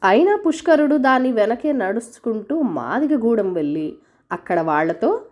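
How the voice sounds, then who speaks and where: High-pitched, expressive woman storyteller. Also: normal speed. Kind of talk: scripted narration of a folk tale for children.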